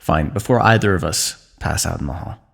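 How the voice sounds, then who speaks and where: A dry, teasing fantasy king, played by a man in an ASMR roleplay, wounded but unbothered. His voice sits low, with a gravelly, husky grain. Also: fairly quick, heavily acted. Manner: dry, teasing, calm understatement. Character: wry wounded male ruler, teasing old comrade.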